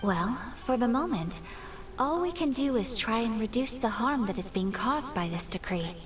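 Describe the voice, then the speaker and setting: An actress playing a courteous, grave young noblewoman in a fantasy video game, speaking formally with measured, precise diction. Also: on the high side, lively intonation, distant mic, faint music behind, natural voice. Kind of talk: scripted fantasy game voice acting.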